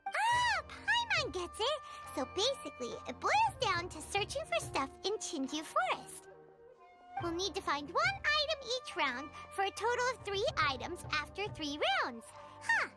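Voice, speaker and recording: Tiny game fairy sidekick: extremely high childlike squeak, bubbly, wildly swooping intonation. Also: female voice, huge pitch swings, measured pace, over loud music, full character voice. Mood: eager. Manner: bubbly, speaks of self in third person. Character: tiny excitable childlike female floating fairy companion. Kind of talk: animated video game voice acting.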